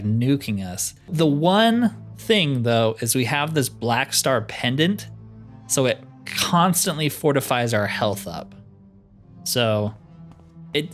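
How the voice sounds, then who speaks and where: A man giving casual game commentary, thinking aloud in an easygoing way. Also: mid-range voice. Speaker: gamer narrating own roleplay playthrough.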